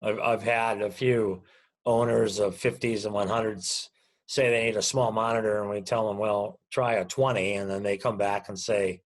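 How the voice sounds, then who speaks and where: A man's voice: fairly low pitch, steady tone, normal speed. A man conducting a conversational interview, chatting casually as he puts questions and comments to his guest.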